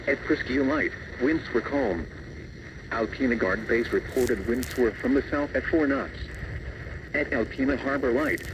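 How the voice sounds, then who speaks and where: A man's voice: mid-range voice, recorded from a distance. A synthetic male announcer voice reading a scripted weather bulletin in an impersonal way, in short clipped phrases. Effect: synthetic text-to-speech voice. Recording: muffled, noisy radio reception.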